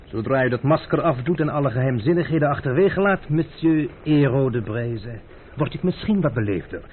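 A man playing a stubborn, teasing gentleman adventurer in a radio play, speaking with mock courtesy and unhurried insolence. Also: medium pitch, expressive, natural voice. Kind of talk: old radio drama acting. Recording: tinny, band-limited old radio recording with hiss.

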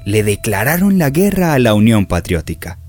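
Low-voiced, grave narrator. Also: male voice, sing-song, moderate pace, darkish tone. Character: grave male documentary-drama narrator. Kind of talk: scripted radio drama narration. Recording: prominent music under narration.

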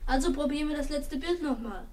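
A woman acting a brisk, commanding schoolboy running a rehearsal in a radio play. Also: high-pitched, a little mellow, recorded from a distance, lightly acted. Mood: brisk, organising.

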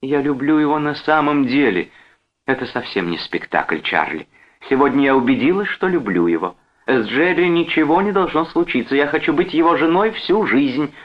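A man's voice: fairly high pitch, sing-song, normal speed, highs cut off, voice pushed up. A man voicing an anxious, protective young wife with a pleading, whining, agitated delivery in an audiobook. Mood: desperate insistence.

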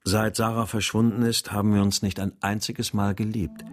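An actor playing a brooding, grieving writer who narrates a radio drama in a subdued, introspective manner. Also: male voice, fairly low pitch, little pitch movement, moderate pace, soft background music, natural voice. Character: brooding married male writer, grieving missing daughter. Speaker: narrator and lead character. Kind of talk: first-person radio drama narration and dialogue.

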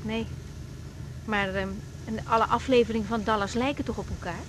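A woman's voice: a little high, steady tone, a little fast, background noise, lightly acted. An actress playing a young woman doctor, needy and coaxing, in a naturalistic Netherlands Dutch radio drama. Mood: polite, coaxing.

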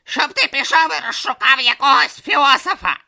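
A man's voice: extremely high pitch, brisk, vocal fry, sharp tone, voice pushed up, heavily acted. A man voicing a bossy, grumpy male god trapped as a tortoise, barking impatient orders.

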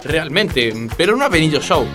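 An actor playing a pompous, silly detective boss in a parody radio play, with theatrical mock solemnity. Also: male voice, fairly high pitch, big pitch swings, moderate pace. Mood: patronizing, curious. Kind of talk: parody radio play acting in neutral dubbing Spanish. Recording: music behind the voice.

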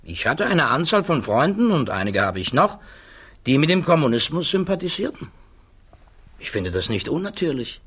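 Husky, principled physicist under interrogation. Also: male voice, fairly high pitch, sing-song, fairly bright tone, exaggerated voice. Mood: calm, candid. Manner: deliberate, precise, formally articulate. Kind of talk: 1960s German radio play acting.

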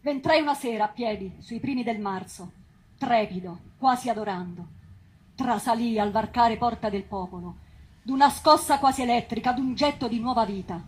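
An actress playing an ardent patriot in a lofty, literary dramatic reading of memoirs. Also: female voice, fairly high pitch, moderate pace, a little hiss or hum, natural voice, rough recording. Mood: reverent. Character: ardent patriot recalling Rome with reverence.

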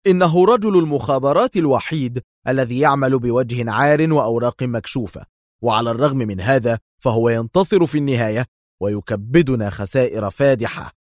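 A man's voice: medium pitch, brisk, no echo, clean studio sound, natural voice. A man playing a hot-tempered, suspicious agent in a spy story, nervous, indignant and argumentative. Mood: agitated. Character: hot-tempered, suspicious male foreign agent, easily outraged.

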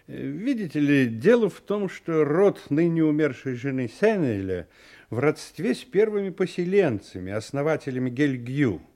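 Affable, chatty ship's mate, very lively. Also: male voice, on the high side, moderate pace. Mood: affable, explaining. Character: affable, chatty chief mate of a sailing ship. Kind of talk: audio drama acting.

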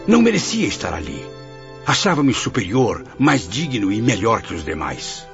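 An actor with a Brazilian accent playing a proud, embittered blind writer's spirit in a radio soap, declaiming theatrically. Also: male voice, on the low side, sing-song, moderate pace, gravelly. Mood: haughty, indignant. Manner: theatrical, declamatory, grandiose, with swelling and sinking emphasis. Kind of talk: radio soap opera acting. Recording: dull lo-fi audio, music behind.

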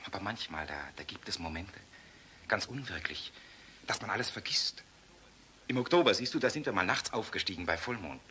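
A tired, earnest fighter pilot in a radio drama. His voice is deep yet very bright and tense, subdued and tender, with faint noise behind it. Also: lively intonation, fairly quick. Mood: troubled, reflective. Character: weary, gentle, earnest young fighter pilot husband. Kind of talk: intimate radio play acting.

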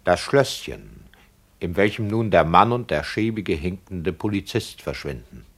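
An actor playing an indignant, argumentative director in a 1960s German radio play, speaking in formal, emphatic phrases. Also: male voice, low voice, very animated, a little slow, husky, exaggerated voice. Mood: subdued, grave.

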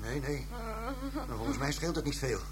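An actor playing an urgent, blunt police officer at a crime scene in a radio drama, terse and exclaiming. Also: male voice, medium pitch, normal speed, noisy background, lightly acted. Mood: urgent, appalled.

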